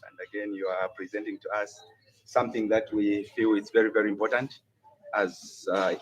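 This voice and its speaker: A Zambian-accented man gives an unscripted official speech in a fairly low voice. He moves haltingly with many pauses. It is a field recording with clear noise behind him. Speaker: hospital health official. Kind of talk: impromptu welcome speech. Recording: noisy on-location field recording.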